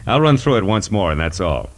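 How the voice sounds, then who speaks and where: An actor playing a tough, wisecracking American private detective in a 1940s radio noir drama, slangy and sardonic. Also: male voice, sits low. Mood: weary, sarcastic. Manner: hard-boiled, slangy, sardonic. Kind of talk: 1940s radio noir acting and first-person narration. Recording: 1940s radio recording with music.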